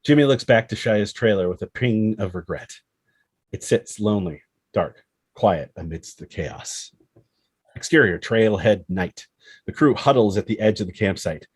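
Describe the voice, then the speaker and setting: A man's voice: fairly low pitch, animated, moderate pace, slightly rough, no room sound. A man reading stage directions as the narrator of a screenplay table read.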